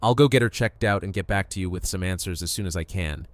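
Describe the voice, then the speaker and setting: Guy playing calm male doctor, deep voice, dry close sound, reassuring, audio drama. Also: quick pace, no echo, close to an everyday voice. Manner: clinical, reassuring. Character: calm, measured male survivor-camp doctor.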